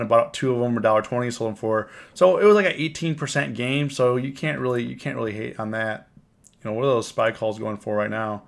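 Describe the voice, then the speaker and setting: A man with a gravelly, fry-laden voice, hoarse from a cold, talking through his trades on a live stream. He rambles and restarts, repeating words as he goes over his numbers. His pitch sits in the ordinary middle for a man. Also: a bit bright. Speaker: options trading live streamer. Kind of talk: casual live-stream market recap. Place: home, on camera.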